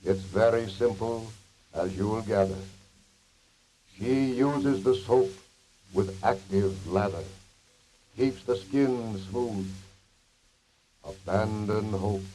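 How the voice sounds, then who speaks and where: Slow solemn magic mirror, low voice, blunt rhymes, faintly noisy old radio. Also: male voice, mellow. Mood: solemn, frank. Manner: solemn, measured rhyming recitation. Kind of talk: 1930s radio acting in an ad skit. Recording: hissy old 1930s radio recording.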